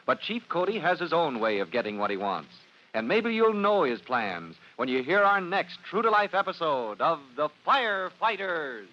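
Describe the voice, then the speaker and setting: Male announcer, higher voice, very lively pitch, dramatic vintage radio narration, muffled recording. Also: normal speed, hardly any pauses. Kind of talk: 1950s radio scripted narration. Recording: muffled old radio recording, faint noise.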